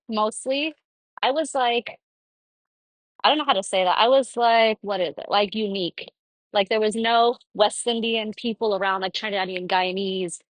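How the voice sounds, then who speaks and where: A woman's voice: a little high, no room sound. A young woman from Florida hosting her own podcast, talking casually about her life in a rambling, conversational way with lots of fillers. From Florida, United States. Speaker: podcast host. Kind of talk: casual personal monologue.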